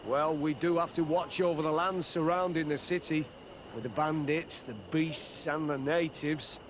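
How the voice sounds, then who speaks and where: A man's voice: on the high side, steady tone, normal speed, noisy background, natural voice. A man voicing a dutiful, worried military officer of a besieged outpost in a video game, earnest in manner. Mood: weary, grateful. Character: worried male outpost officer, dutiful soldier under siege. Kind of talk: video game cutscene acting.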